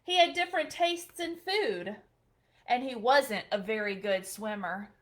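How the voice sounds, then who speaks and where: A woman, a children's librarian, reading a picture book aloud at bedtime in a gentle, expressive storytelling manner. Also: on the high side, measured pace.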